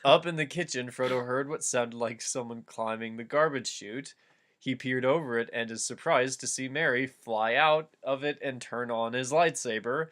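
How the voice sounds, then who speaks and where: A man's voice: mid-range voice, normal speed, fairly bright tone. A man hosting a casual reading of fan fiction, switching between narrating the text and offhand commentary on it.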